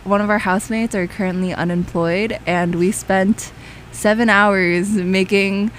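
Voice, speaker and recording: A young woman in her twenties chats on air as a radio co-host, upbeat and giggly. She talks at an unhurried pace. A faint hum of ambience sits behind her. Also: mid-range voice, fairly even intonation, rough recording. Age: 20s. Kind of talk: casual radio chat.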